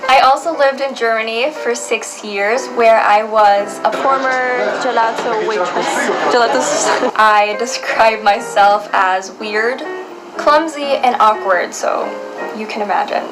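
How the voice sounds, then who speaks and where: Playful higher-voiced woman over music. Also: unhurried, rough recording. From Saudi Arabia. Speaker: pageant contestant, model and host. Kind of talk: light self-introduction to camera. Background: prominent music.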